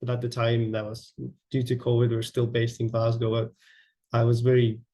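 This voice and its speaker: A young man from Latvia presenting his architecture project, halting with many fillers and restarts. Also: mid-range voice, completely flat delivery, mellow. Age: young adult. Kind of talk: online presentation.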